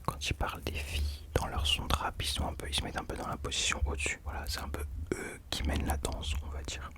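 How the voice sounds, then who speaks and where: A man's voice: fast, pushed and bright. A young man whispering casual ASMR commentary as a host, hesitantly searching for his words.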